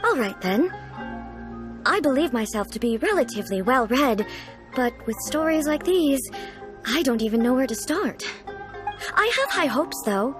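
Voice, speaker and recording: A woman's voice: sits high, lively intonation, moderate pace, pauses often. A woman playing a dignified, reclusive ruler in a video game, speaking formally and pensively with earnest, naive puzzlement. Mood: pensive, curious. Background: game music.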